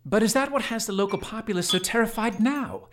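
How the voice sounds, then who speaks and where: A man's voice: high-pitched, a little fast, a bit bright, a little hiss or hum. An actor playing a sceptical, easily shocked male guest in a radio drama, with a questioning manner.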